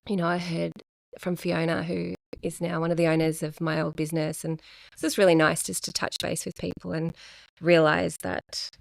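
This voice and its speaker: A middle-aged woman, talking casually and reflectively as a podcast guest, pausing often. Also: on the low side, level tone, gravelly, no room sound, rough recording. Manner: soft-spoken, reflective, with many pauses and fillers. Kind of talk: casual chat.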